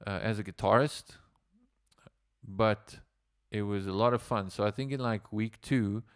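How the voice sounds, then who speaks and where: A man's voice: on the low side, unhurried, clear, resonant tone, dry recording, clean studio sound. A South African man, native Afrikaans speaker, a musician telling stories on a casual livestream in a rambling way with lots of fillers. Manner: rambling, with many fillers and restarts.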